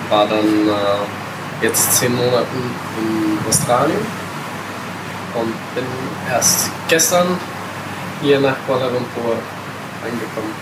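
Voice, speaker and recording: A man in his thirties, born in Italy, answering questions as a guest on a casual travel podcast, with many pauses and filler sounds. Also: mid-range voice. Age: 30s. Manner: hesitant, many filler sounds, mixes English words. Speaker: interview guest, backpacker. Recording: loud background noise.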